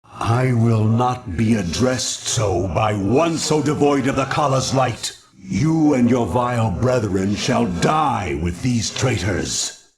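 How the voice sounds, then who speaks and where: Gravelly, haughty alien judge. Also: male voice, mid-range voice, lively intonation, slow pace, crowd noise. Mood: indignant, scornful. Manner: pompous, contemptuous, formal. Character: haughty zealot alien judge, cold villain. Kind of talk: theatrical video game acting.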